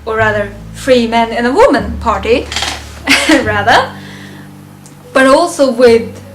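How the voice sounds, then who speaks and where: A woman giving a casual, unscripted fan review of a ranked list, halting and thinking aloud, often chuckling. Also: a little high, expressive, a little hiss or hum, rough recording.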